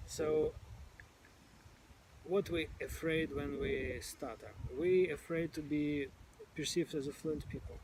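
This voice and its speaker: A man's voice: a little high, steady tone, slow, background noise. A man in casual conversation who now and then repeats sounds when stuck on words. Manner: occasional stuttered repetitions, informal phrasing. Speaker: stammering support group member.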